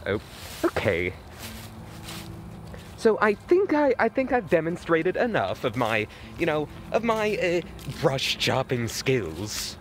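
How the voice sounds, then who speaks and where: A man's voice: a little high, wildly swooping pitch, slow pace, slight background noise. A man vlogging outdoors in the woods at night on a handheld camera, stammering nervously with repeated words and voice cracks. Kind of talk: mock-scared comedic night vlog.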